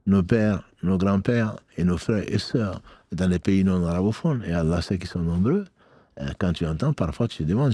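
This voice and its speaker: A man's voice: on the low side, dark tone, breathy edge, no room sound, clean studio sound. A man giving religious advice on a live call-in show, speaking familiarly to listeners and repeating key phrases. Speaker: imam answering listeners' questions. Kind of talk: live radio question-and-answer on religious practice.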